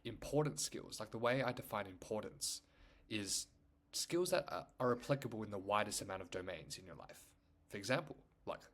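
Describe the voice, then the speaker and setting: A young man talks casually to his camera in a fairly low voice. His first language is Chinese, and his sentences wander and restart. He fills them with "like" and "you know". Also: fairly bright tone. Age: young adult. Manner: rambling, full of "like" and "you know". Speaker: YouTuber.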